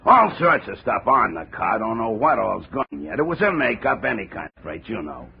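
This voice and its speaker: An actor playing a gruff, aging railroad detective who talks in heavy railroad slang, in a 1950s radio drama. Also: male voice, on the low side, very animated, moderate pace, full character voice. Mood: briefing. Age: older. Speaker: railroad cop. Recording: dull old radio transcription with faint hiss.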